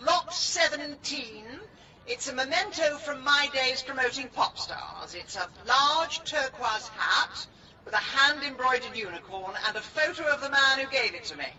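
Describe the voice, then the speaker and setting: Determined PR woman turned detective: middle-aged, brisk, cutting, very lively intonation. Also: high-pitched, lo-fi sound, full character voice. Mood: brisk showmanship. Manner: sharp-tongued, brisk, bossy. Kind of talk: radio drama acting.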